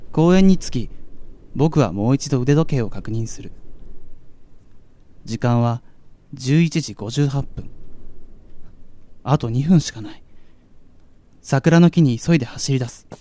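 A man playing a curious young part-timer, narrating his night in a measured, introspective radio drama style. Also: mid-range voice, expressive, dark tone. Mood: reflective.

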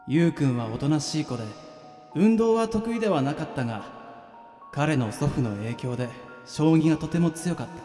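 A man's voice: a little high, dark tone. A man playing a weary, bewildered ordinary guy in a radio drama, speaking casually and narrating his own ghostly encounter. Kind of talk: radio drama dialogue and first-person narration. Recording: echoey reverberant sound, music underneath.

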